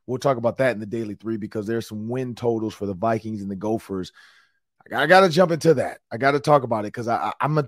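A middle-aged male podcast host rambles through sports talk in a relaxed, chatty way, full of fillers and restarts. His voice has a slight rasp and sits at an ordinary pitch. The recording is close and dry, with no room sound. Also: no echo. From Minnesota, US. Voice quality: slightly raspy.

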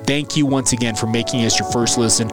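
A man's voice: mid-range voice, fairly even intonation, brisk, over music. A man with an American accent giving conversational solo sports commentary as a podcast host, addressing listeners directly in a folksy way.

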